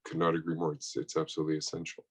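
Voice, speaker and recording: Brief: low-voiced male finance panelist, careful and measured delivery. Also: monotone, husky. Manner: measured, thoughtful, many restarts. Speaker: panelist, bank sustainability executive. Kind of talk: panel discussion answer.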